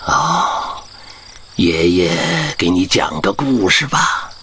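Emotional old village chief, wildly expressive. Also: male voice, sits low, takes it slowly, slight background noise, full character voice. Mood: tender, reminiscing. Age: older. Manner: expressive, earnest storytelling. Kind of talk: radio drama acting.

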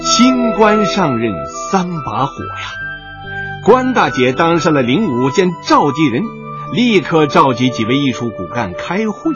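Male narrator, playful and highly expressive, fairly high voice, clear music bed, slightly dull sound. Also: measured pace. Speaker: narrator of an audio drama. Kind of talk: playful scripted storytelling narration. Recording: dull audio under prominent music.

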